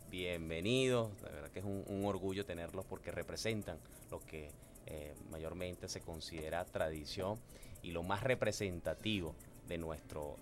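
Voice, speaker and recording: A man from Guárico, Venezuela, with a llanero accent, interviewing guests in a radio studio booth. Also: sits low.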